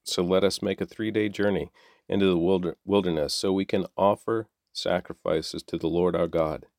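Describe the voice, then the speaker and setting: A man's voice: sits low, fairly even intonation, moderate pace, vocal fry, a little mellow, no room sound, studio quality. A man voicing two male prophets who plead earnestly and urgently with a king.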